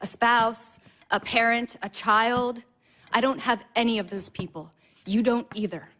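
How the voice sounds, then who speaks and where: An actress playing an intense, wounded, clinging woman who speaks with deliberate insistence in a contemporary audio drama. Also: a little high, fairly bright tone. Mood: pleading, intense.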